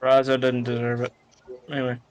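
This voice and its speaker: A man's voice: mid-range voice, completely flat delivery, fast, lo-fi sound. A man chatting bluntly and casually as a panelist on a podcast. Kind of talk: casual chat.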